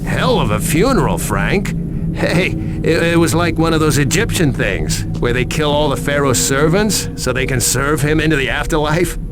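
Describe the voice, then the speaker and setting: A man's voice: a little high, expressive, moderate pace, natural voice, low-quality recording. A man playing a nervy, sardonic informant in a video game, wisecracking in a hesitant manner. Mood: wry, nervy. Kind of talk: video game cutscene acting. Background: loud music.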